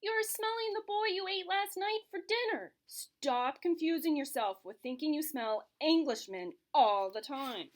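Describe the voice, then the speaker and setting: A woman's voice: high-pitched, lively intonation, measured pace, few pauses, voice pushed up. A woman voicing an ogre's big, bossy wife who scolds and coaxes in a children's puppet show. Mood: coaxing, scolding. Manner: sing-song, swooping between scolding and coaxing.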